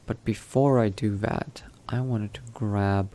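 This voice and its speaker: A man narrating his role-play gameplay in character, musing to himself in an unhurried, gravelly voice. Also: on the low side, fairly even intonation, mellow. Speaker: gaming video host, role-playing player.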